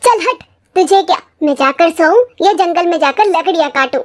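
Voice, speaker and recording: A high-voiced storyteller voicing a careless younger brother in a village moral tale. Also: normal speed. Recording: music under the voice.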